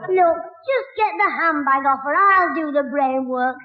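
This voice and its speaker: A man playing a cheeky, quarrelsome schoolboy with quick-fire backchat in an old radio sitcom. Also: extremely high pitch. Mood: bossy, urgent. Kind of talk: 1960s radio sitcom acting. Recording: dull, lo-fi old radio recording.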